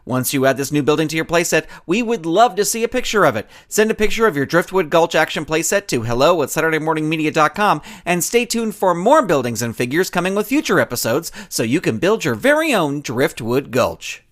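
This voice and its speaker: A man's voice: fairly high pitch, very animated, a little fast, few pauses, a bit bright. A man reading scripted credits and promos as the upbeat announcer of a children's podcast. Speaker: show announcer.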